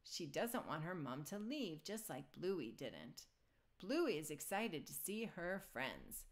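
A woman reading a children's picture book aloud in an expressive storytelling style. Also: deep voice, takes it slowly.

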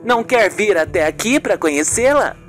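Amateur putting on a high-voiced, chatty woman painter. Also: lively intonation, normal speed, soft background music, lightly acted. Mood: friendly, animated. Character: chatty, friendly woman painter talking with a girlfriend. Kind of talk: amateur radio soap opera acting.

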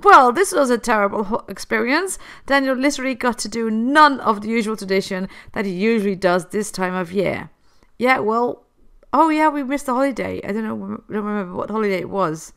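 A woman's voice: on the high side, animated, brisk, creaky, a bit bright, clear, resonant tone. A woman with a cold, chatting through a life-sim game and talking to the characters. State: has a cold. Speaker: gameplay host. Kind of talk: casual gameplay commentary.

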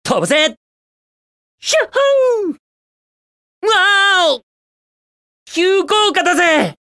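A man's voice: extremely high pitch, very animated, slow, sharp tone, no room sound, studio quality, exaggerated voice. A man voicing a cocky, carefree young hedgehog hero in a video game, with breezy, confident, slangy delivery. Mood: excited, eager.

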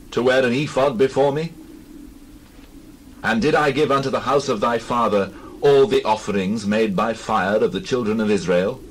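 A man performs a stern man of God in a Bible drama. His low voice declaims judgment with solemn, accusing weight. Birdsong and background noise sit behind the speech. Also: close to an everyday voice, low-quality recording. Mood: stern accusation. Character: stern holy prophet delivering God's judgment.

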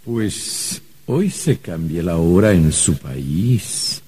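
An actor playing a calm, sly otherworldly official in a radio drama, husky, measured, polite and knowing. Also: male voice, sits low, slight background noise, heavily acted. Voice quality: very husky, dark. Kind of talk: old radio drama acting.

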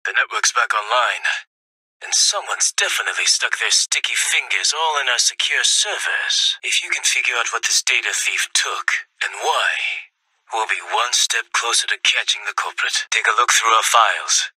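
Sharp, husky, smug lizard crime boss. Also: male voice, mid-range voice, moderate pace, no room sound, rough recording. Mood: serious, wry. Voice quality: gravelly, husky. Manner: smooth-talking, self-satisfied, grandiose. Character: suave lizard-man restaurateur crime boss, smug and theatrical. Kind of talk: video game voice acting.

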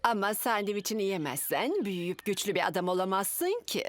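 A woman's voice: medium pitch, brisk, no echo, lightly acted. A woman voicing a bossy, doting mother in a children's cartoon, coaxing and fussy. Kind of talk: animated children's series dub.

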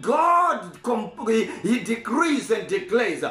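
A man preaching a fervent sermon, repeating his points and shouting key declarations. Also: very high voice, sing-song, takes it slowly. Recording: echoey room.

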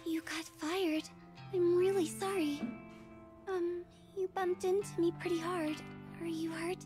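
A woman voicing a shy young woman in a visual novel, with a soft, hesitant, secretive manner. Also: extremely high pitch, level tone, unhurried, voice pushed up. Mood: timid, apologetic. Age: young adult. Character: shy bespectacled young woman, timid but ominously insistent. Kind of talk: visual novel character reading. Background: background music.

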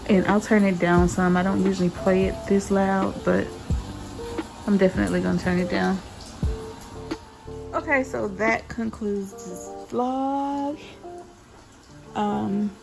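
A woman in her thirties talks in an informal, conversational vlog style at a medium pitch. She is recorded indoors at home on a phone, with music playing behind her voice. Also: vocal fry, rough recording. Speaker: vlogger. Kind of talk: casual vlog chat.

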